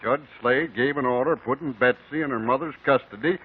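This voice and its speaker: A man's voice: medium pitch, close to an everyday voice. A man playing a firm, formal small-town sheriff with an American accent in a vintage radio drama. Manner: formal, measured, authoritative. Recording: dull, faintly noisy 1950 radio recording.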